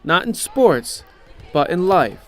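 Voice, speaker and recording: A man's voice: high-pitched, sing-song, slow. An American man working as a news reporter, reading scripted news narration.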